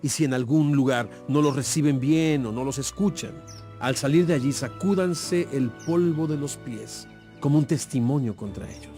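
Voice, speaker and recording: Man playing a mellow, calm holy teacher. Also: medium pitch, normal speed, soft background music, close to an everyday voice. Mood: instructing. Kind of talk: dramatized audio Bible acting.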